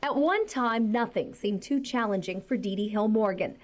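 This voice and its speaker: A woman's voice: medium pitch, normal speed, a little mellow. A woman reporter reading scripted narration for a television news investigation.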